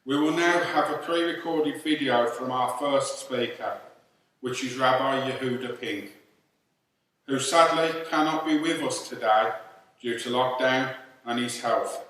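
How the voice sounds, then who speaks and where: A man's voice: medium pitch, a little slow, a bit bright. A man hosting a memorial service, reading a formal scripted introduction. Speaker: host of an online memorial service. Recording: very echoey, distant mic, faint noise.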